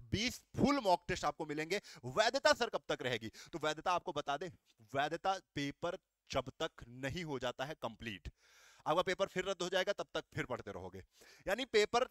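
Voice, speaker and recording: A man's voice: fairly high pitch, fairly bright tone, no echo. A man teaching exam aspirants, explaining step by step, asking questions and answering them himself. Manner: explains step by step, asks and answers himself, calls students "beta". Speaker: coaching teacher. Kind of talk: exam guidance talk.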